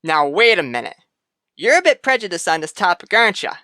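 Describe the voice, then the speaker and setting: A woman's voice: fairly low pitch, big pitch swings, fairly quick, bright, forceful tone, no echo, clean studio sound. A woman voicing an affable but defensive grown man with a folksy manner in a radio soap opera.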